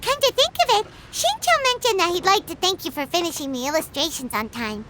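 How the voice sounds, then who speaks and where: A woman voicing a small, childlike, cheerful and nosy fairy companion in a video game, with a squeaky voice. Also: lively intonation, a little fast, background noise. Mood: excited. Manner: refers to self in third person.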